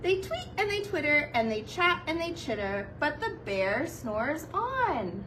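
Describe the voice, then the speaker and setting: A woman with a high, very expressive voice reads a children's storybook at an unhurried pace. She sounds like a kindergarten teacher, animated and playful, dropping little asides to her young listeners. She is recorded a little way from the microphone. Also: far from the mic, a little hiss or hum. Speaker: kindergarten teacher reading a picture book.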